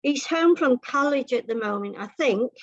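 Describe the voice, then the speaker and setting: A woman's voice: high-pitched, expressive, normal speed, dry recording, muffled recording. A woman voicing a nosy, helpful, matter-of-fact man in a comic short story. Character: housewife's companion Jack, a blunt, nosy, helpful man.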